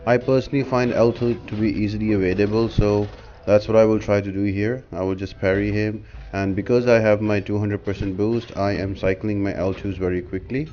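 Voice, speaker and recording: Low-pitched man, muffled noisy game commentary. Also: steady tone, fairly quick. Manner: explanatory, step-by-step instruction. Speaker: gaming guide host. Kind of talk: casual game walkthrough commentary. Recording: dull lo-fi mic with clear noise.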